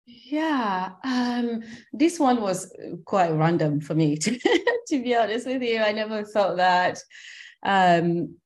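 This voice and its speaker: A woman from Cameroon, a company founder in her thirties, talking at length in an interview in a reflective way with frequent ums and soft laughs. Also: mid-range voice, lively intonation, slow pace. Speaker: skincare company founder, guest. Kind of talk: conversational interview.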